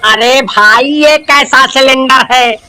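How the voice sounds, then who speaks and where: Man playing a high-pitched, excitable tea seller. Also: takes it slowly, a little hiss or hum, heavily acted. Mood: startled. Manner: exclaiming, repeating words, theatrical. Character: excitable village tea seller, male, simple and bossy. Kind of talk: cartoon story acting.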